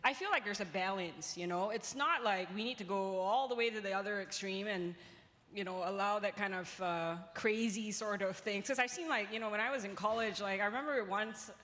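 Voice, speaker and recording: A woman's voice: mid-range voice, fairly bright tone. Female panelist from Singapore, casual and emphatic storyteller, live hall sound. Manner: conversational, emphatic, frequent "like" and "you know". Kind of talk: casual panel discussion with anecdotes. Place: large echoey event space. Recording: reverberant hall, live event.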